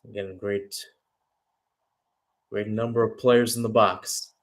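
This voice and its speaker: A man's voice: on the low side, steady tone, measured pace. A man giving radio-style live play-by-play football commentary as the match commentator.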